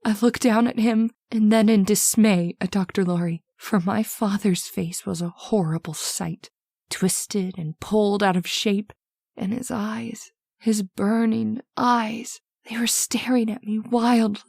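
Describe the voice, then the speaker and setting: An actress playing a devoted young married daughter in an old-time radio horror drama, with an earnest, melodramatic delivery. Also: female voice, mid-range voice, steady tone, measured pace, vocal fry, a little mellow, no room sound, studio quality. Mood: sorrowful, haunted.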